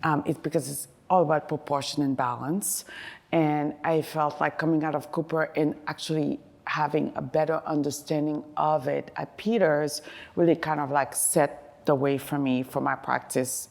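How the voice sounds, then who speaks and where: A middle-aged woman from Haiti talks on a panel about her path into architecture. Her voice is low with a gravelly, fry-laden edge. She speaks loosely, with fillers and self-deprecating asides. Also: level tone, normal speed, a little mellow. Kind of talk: panel discussion answer.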